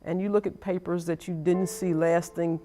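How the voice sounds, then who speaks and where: A woman's voice: fairly low pitch, fairly even intonation, moderate pace, warm tone. An older woman, a retired professor, giving explanatory interview talk. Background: music.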